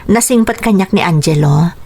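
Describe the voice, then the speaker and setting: A woman's voice: fairly low pitch, normal speed, vocal fry, dark tone, husky. A woman with a Filipino accent acting a patient, dutiful young wife and daughter in a radio drama, earnest and soothing. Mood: reassuring.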